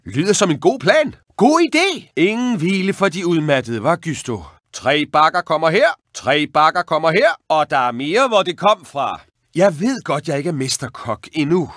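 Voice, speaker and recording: A man voicing an eager young male rat who dreams of cooking, in an expressive, excitable and wry video game performance. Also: on the high side, no room sound, clean studio sound. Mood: eager, determined. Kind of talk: animated video game voice acting.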